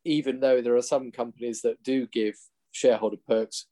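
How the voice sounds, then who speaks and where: A man with a Lincolnshire accent, an investment manager explaining his work in an interview in a measured way. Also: medium pitch, steady tone, warm tone. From England. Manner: measured, explanatory, restarts at times.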